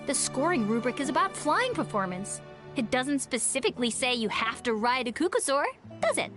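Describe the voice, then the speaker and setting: A woman's voice: high voice, big pitch swings, a little fast, music in the background. A woman voicing a pushy, spirited young girl in an anime game, eager and argumentative. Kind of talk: anime video game voice acting.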